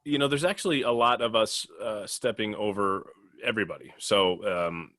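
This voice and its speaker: A man co-hosting a casual podcast discussion, speaking haltingly and often restarting his phrases. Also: fairly low pitch, a bit bright, no echo, studio quality. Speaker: podcast host and audio editor.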